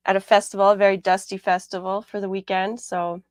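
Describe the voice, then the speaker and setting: Raspy-voiced woman hosting a talk show. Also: mid-range voice, little pitch movement, fairly quick, no room sound, studio quality. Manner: rambling, with many fillers and repeats. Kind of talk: casual radio talk show chat.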